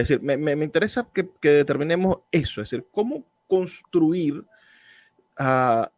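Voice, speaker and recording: A man's voice: a little high, no echo. A man hosting a radio book program, introducing segments in a formal announcer's manner and putting questions to his guest. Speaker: radio host. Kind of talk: radio show hosting and interviewing.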